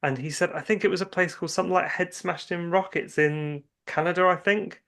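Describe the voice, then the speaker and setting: A man's voice: high voice, fairly even intonation, brisk, creaky, fairly bright tone. A man speaking as an interview guest, chatting casually about his creative work in rambling anecdotes with hesitant starts. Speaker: guest writer and filmmaker.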